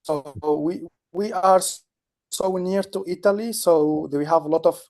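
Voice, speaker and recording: Man speaking halting English. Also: high voice, darkish tone. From Tunisia. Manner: halting English, many restarts. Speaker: guest participant. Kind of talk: casual chat.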